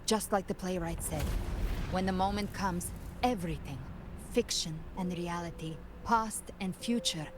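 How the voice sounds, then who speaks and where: An actress playing a solemn, poised woman guiding a hero in a video game, speaking in a grave, measured, dramatic way. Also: fairly low pitch, noisy background, natural voice. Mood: solemn, resolute. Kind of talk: scripted video game voice acting. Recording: game dialogue over clear background music. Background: game music.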